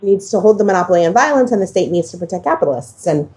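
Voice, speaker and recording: A woman's voice: medium pitch, big pitch swings, rapid-fire, a little mellow. A woman hosting a casual conversational discussion, speaking emphatically and repeating phrases for stress. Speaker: podcast host.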